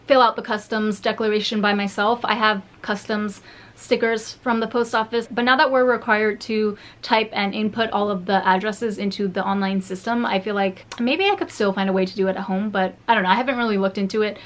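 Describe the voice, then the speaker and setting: Woman, beauty vlogger, slightly hoarse, rambling, chatty, fillers and restarts, mid-pitched. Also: a little hiss or hum. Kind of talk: casual haul vlog.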